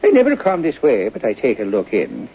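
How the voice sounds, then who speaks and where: A man's voice: mid-range voice, huge pitch swings, brisk, slight background noise. An actor playing a morbid, garrulous old Irish local man of seventy-nine in an old radio drama, cackling, folksy and deferential. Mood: reminiscing. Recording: dull lo-fi old radio recording.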